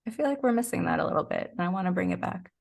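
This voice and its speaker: A woman in her forties with a slightly low voice and a noticeable creaky fry. She talks like an interview guest thinking out loud, candid and emphatic in a conversational way. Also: animated, very fast. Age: 40s.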